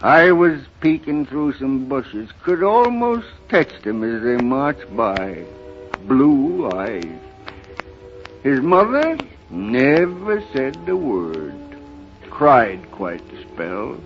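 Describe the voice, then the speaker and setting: An actor playing a sorrowful old rural American grandfather, telling his story haltingly in a folksy, dramatic 1930s radio style. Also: mid-range voice, big pitch swings, faint music behind, heavily acted. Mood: wistful, sorrowful. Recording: muffled, noisy 1930s radio recording.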